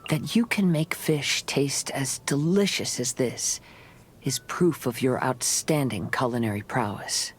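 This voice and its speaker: A male actor voicing a calm, refined young wandering samurai poet in a video game, speaking in measured, formal, poetic phrases. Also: medium pitch, soft background music, natural voice. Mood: calm, reflective. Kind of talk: video game character voice lines.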